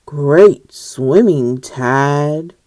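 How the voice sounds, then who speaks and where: A woman's voice: low voice, drawn-out words, dark tone, pitched below the performer's own voice. A woman voicing a big, loving but weary frog dad for a storybook, in an emphatic, fatherly, exasperated manner. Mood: proud.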